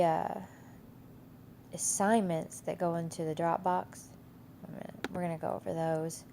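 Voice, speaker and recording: A woman's voice: on the low side, dark tone, slight background noise. A woman instructor with a cold and a stuffy nose walks through a course week in a casual, rambling way, full of fillers and restarts. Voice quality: gravelly. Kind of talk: casual screen-share course walkthrough.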